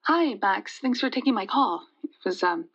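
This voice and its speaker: A woman playing a nervous caller to a radio show, haltingly telling a frightening memory. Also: medium pitch, animated, a bit bright, lo-fi sound. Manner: hesitant, halting. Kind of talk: halting personal storytelling, audio drama.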